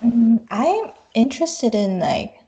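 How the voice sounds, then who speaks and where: A woman's voice: on the high side, big pitch swings, slow, warm tone. A woman chatting casually and a little tentatively as a guest on a podcast. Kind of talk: casual conversation. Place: group online call.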